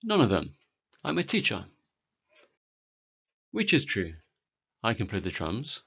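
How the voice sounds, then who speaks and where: A British man in his forties teaching a beginner English lesson, speaking clearly and deliberately for learners. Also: fairly low pitch, wildly swooping pitch, fairly bright tone, dull audio. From Britain. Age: 40s.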